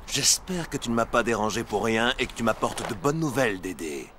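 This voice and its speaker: Stern, animated cartoon villain boss. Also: male voice, lowish voice, brisk, background noise. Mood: cold, impatient. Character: stern, impatient male villain boss hunting a girl. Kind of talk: French animated series dialogue. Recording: loud music behind the voice.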